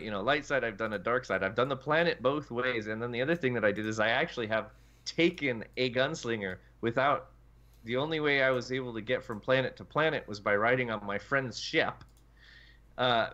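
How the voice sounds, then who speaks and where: Male gaming podcaster, lowish voice with a sharp edge, emphatic and long-winded, casual delivery over lo-fi chat audio. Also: brisk. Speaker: podcast panelist. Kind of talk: casual panel discussion about a video game.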